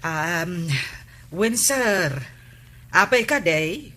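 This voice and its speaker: Woman acting a sharp, scolding wife. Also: on the low side, expressive, very slow, exaggerated voice. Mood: concerned. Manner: nagging, probing, emphatic. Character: suspicious, scolding, sharp-tongued wife of village captain. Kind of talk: Ilocano radio comedy drama acting.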